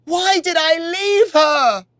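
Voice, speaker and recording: A woman's voice: very high voice, slow pace, fairly bright tone, dry recording, clean studio sound, voice pushed up, heavily acted. A woman voicing a proud young male warrior who speaks in a raised, impassioned voice. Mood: anguished.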